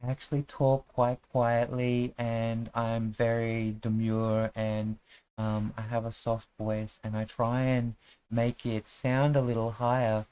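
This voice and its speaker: A man doing a quiet demure woman. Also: lowish voice, clean tone, lightly acted. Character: soft-spoken demure woman.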